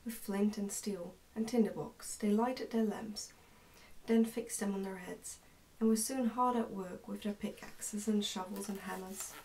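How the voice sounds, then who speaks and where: A young woman reads a storybook aloud in a soft, hushed, careful ASMR style. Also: medium pitch, distant mic, a little hiss or hum, low-quality recording. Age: young adult. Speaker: ASMR narrator reading a book aloud. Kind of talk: ASMR storybook reading, soft-spoken and whispery. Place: indoors, small plain room.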